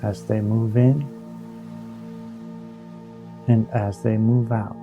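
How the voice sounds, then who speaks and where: A man leading a guided meditation in a slow, soothing, hypnotic manner, with long pauses between short phrases. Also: fairly low pitch, flat delivery, phone-quality sound. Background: ambient new-age music with singing bowls.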